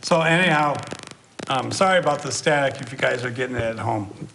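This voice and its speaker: A man chairing a local township meeting, his voice gravelly and bright. He rambles and restarts his sentences a lot. There is faint static in the background of a live meeting broadcast. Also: medium pitch, a little fast, poor audio.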